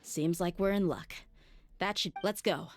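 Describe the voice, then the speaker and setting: A woman's voice: fairly low pitch, unhurried, lightly acted. An actress voicing a tough, blunt young desert mercenary woman in a video game. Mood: firm, impatient.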